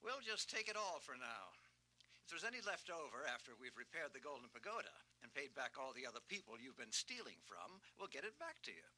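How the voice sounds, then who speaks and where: Bright, forceful, cocky team leader. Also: male voice, medium pitch, sing-song, brisk, heavily acted, rough recording. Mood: firm, smug. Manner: brash, sardonic delivery. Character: cocky, tough, wisecracking male action team leader. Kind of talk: 1980s children's record audio drama acting.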